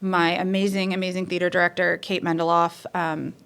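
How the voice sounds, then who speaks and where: Woman guest, lower-toned, chatty storyteller, loose and full of fillers. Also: a little fast, vocal fry, clear, resonant tone. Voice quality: says she has a lower voice. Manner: lively, chatty, many fillers and restarts. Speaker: theater professor, guest. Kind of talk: casual interview storytelling.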